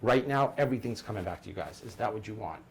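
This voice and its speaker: A man's voice: fairly low pitch, fast. A man explaining equipment to staff in an informal hands-on demonstration, step by step and often repeating his phrases. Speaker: installer training staff on an intercom system. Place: indoor room at a workplace. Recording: noisy room, ambient background hiss.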